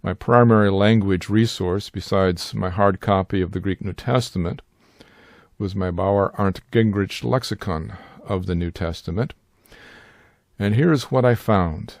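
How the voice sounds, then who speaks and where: An older man, narrating a careful scripted Bible-study lesson, slowing down to stress key phrases. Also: lowish voice, a little mellow, dry recording, clean studio sound. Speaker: Bible teacher and sign language interpreter.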